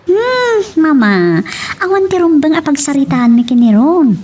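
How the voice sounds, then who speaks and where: A woman's voice: sits high, sing-song, measured pace, darkish tone. A woman playing a headstrong young woman in love in an audio love drama, recorded at a distance. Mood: defensive. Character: headstrong young woman in love, defending her romance to her mother. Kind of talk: Ilocano radio-style love drama acting.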